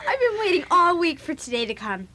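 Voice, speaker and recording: An eager teenage girl in an audio drama on a very noisy cassette. Her voice is extremely high and leaps wildly with excitement. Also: brisk, raised voice. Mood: excited.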